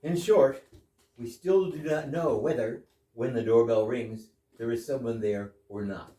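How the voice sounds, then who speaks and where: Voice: mid-range voice, unhurried, mellow, natural voice. An amateur performer in a stage reading, playing a reasonable, inquisitive guest in an absurdist comedy. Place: echoey room at a live readers theater. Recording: echoey room, distant microphone.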